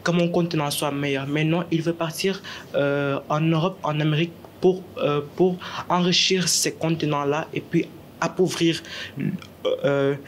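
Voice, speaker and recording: A man's voice: a little high, steady tone, a little slow, a little hiss or hum. A young man from Senegal speaking earnestly in a classroom book discussion, often repeating words as he searches for them.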